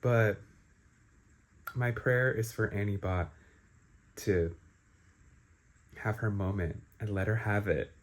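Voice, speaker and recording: A man talking casually as a book vlogger, reacting in an animated, slangy, emphatic way. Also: lowish voice, slow pace, pauses often. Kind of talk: casual live reading-reaction vlog.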